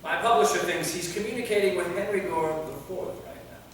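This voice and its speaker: A man's voice: high-pitched, a little fast, a bit bright. A man playing an anxious, awkward centuries-old vampire novelist in a staged play reading, hesitant and self-effacing. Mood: awkward, subdued. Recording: echoey hall, distant mic, faint noise.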